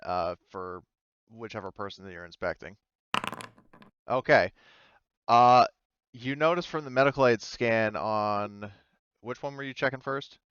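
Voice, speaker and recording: Low, smooth-voiced man narrating haltingly. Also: a bit bright, no echo, muffled recording. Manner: halting, hesitant, pieces sentences together gradually. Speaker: game master. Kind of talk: improvised tabletop game narration. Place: online voice call.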